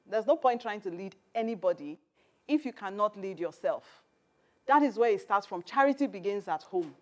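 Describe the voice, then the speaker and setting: A woman's voice: mid-range voice, darkish tone. A woman hosting and teaching a personal-growth workshop, earnest and instructive, laying out her points one by one. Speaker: mentor and program host.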